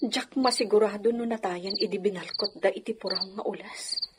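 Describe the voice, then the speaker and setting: A woman's voice: medium pitch, fairly even intonation, moderate pace, natural voice. A woman playing a young married lady of the house in an audio drama, plaintive and anxious, with a sighing delivery. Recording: noisy track with clicks and insects.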